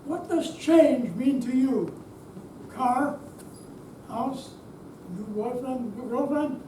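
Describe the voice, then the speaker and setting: A woman narrator delivering scripted stage lines in a projected, deliberate way to a live audience. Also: high voice, animated. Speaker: readers theater narrator. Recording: distant mic, echoey room, faint noise.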